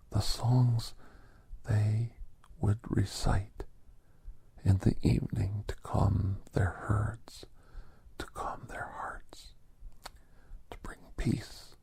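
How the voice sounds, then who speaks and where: Gentle doctor storyteller, played by a man, breathy near-whisper, very slow and reflective, for hushed ASMR. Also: fairly low pitch, full character voice. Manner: slow, hushed, halting short phrases.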